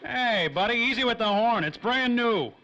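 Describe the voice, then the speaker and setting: Nervous bartender, extremely high pitch, excitable, 1930s radio noir. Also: male voice, normal speed, highs cut off, exaggerated voice. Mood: alarmed. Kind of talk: 1930s radio drama acting.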